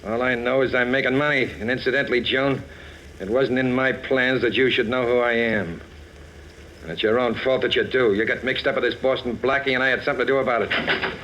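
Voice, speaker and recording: A man's voice: mid-range voice, fairly even intonation, brisk, a bit bright, highs cut off, lightly acted. Man acting a cold, curt radio racketeer. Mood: cold, dismissive. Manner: curt, unapologetic. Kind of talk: 1940s radio drama acting. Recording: hissy vintage radio recording.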